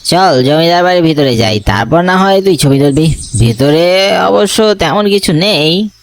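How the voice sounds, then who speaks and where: A man's voice: on the high side, animated, measured pace. An actor playing an easygoing young man, the hero's friend, in horror cartoon dialogue. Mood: casual, puzzled.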